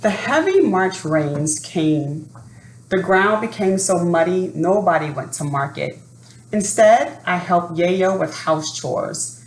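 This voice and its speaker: Woman, lowish voice, expressive storybook read-aloud, roomy sound, unhurried. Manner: expressive, unhurried, adds asides to listeners. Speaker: storybook reader. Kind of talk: expressive read-aloud of a children's picture book. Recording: roomy echo, faint background noise.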